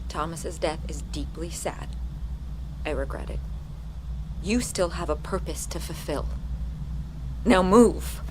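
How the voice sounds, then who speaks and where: A woman's voice: low voice, slow pace, pauses often, noisy background. An actress playing a zealous, unyielding woman on a mission, speaking with solemn insistence in a naturalistic audio drama. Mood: cold, resolute.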